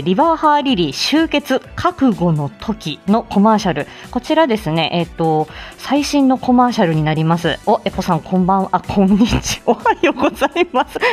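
Very expressive, chatty woman livestream host. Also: a little high. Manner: giggly, flustered, chatty. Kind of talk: casual morning live chat.

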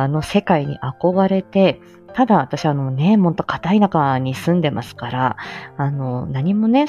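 Female live-stream host, low voice, halting and modest chatty delivery, dull lo-fi sound. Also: dark tone. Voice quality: mature, low-set voice. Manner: chuckles often between phrases. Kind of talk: casual rambling solo chat. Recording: dull lo-fi audio, faint music behind.

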